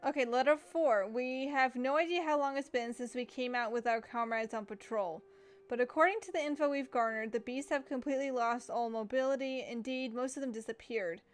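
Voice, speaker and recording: A chatty young woman playing through a game, tossing out casual remarks and reading notes aloud with plenty of fillers. Her voice sits a little high, recorded close on a desk mic. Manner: chatty, giggly, filler-heavy. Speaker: gaming streamer.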